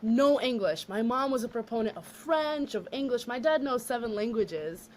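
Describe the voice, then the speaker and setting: A young woman with a fairly high, light voice talks as a guest on a podcast. She tells her family's story in a lively, casual way. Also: moderate pace, slight background noise. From United States. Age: young adult.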